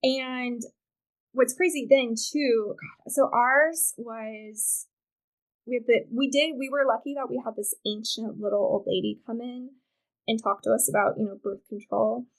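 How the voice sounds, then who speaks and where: A woman's voice: on the high side, measured pace, poor audio. A woman from Wyoming, a podcast guest who advocates for reproductive rights, telling candid and funny stories in casual chat. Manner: animated, candid, comic storyteller.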